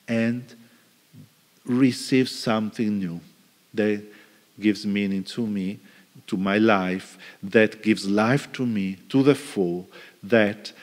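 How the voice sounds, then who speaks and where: A middle-aged male priest preaching a homily at a lectern in a large church, reflective, with pauses and restarts. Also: on the low side. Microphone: stand mic at lectern. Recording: reverberant church hall.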